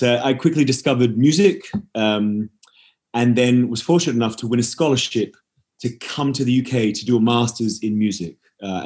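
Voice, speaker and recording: Modest man, lowish voice, chatty guest. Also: a little mellow. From Scotland, raised in Western Australia. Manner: modest, self-deprecating, many "you know" fillers. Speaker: guest, opera singer and nutritionist. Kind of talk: relaxed conversational interview.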